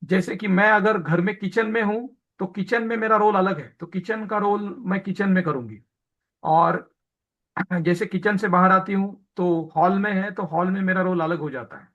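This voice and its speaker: A man's voice: high voice, normal speed, a bit bright, lo-fi sound. A man giving a training lecture on hospital audits, switching between Hindi and English terms, with frequent hesitation fillers and everyday examples. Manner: explains through everyday home examples.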